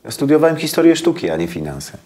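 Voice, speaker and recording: An actor playing a harried middle-aged foundation director, exasperated but lenient, in naturalistic film drama. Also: male voice, on the high side, sing-song, fast talker, mellow. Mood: defensive. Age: 40s.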